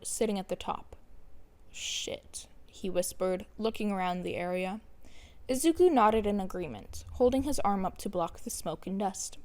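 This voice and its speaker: Woman reading a dramatic story aloud, mid pitch, creaky edge to her voice. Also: darkish tone. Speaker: podfic narrator. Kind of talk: scripted fan fiction narration.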